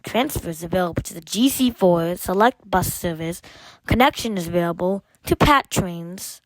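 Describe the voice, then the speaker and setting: A woman reading scripted train announcements as an automated announcer, in measured, formulaic phrases with separate segments. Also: lowish voice, lively intonation, dry recording, rough recording.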